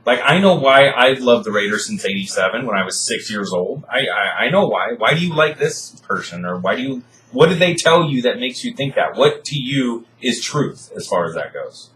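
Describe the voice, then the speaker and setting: A man in his thirties in a casual debate, halting and searching for words, giving ground and then pushing back. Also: mid-range voice, animated, a bit bright, poor audio. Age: 30s. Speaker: co-host debating. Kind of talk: casual argument.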